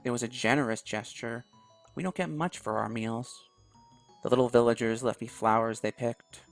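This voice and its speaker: Gravelly soft-spoken male reader. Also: medium pitch, fairly even intonation, moderate pace, soft background music. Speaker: video game YouTuber. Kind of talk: reading a visual novel aloud in first person.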